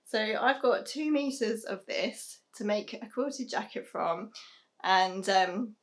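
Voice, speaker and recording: A young British woman talking casually to camera about sewing, a little hoarse from losing her voice, chatty with frequent ums and soft laughs. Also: medium pitch, slow pace. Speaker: sewing vlogger. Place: small home sewing room, indoors.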